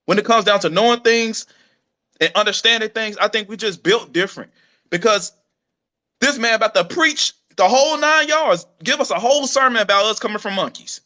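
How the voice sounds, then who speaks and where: A man's voice: high-pitched, brisk, bright, forceful tone. A male host in a casual live-stream chat who talks in a blunt, dismissive, slangy way.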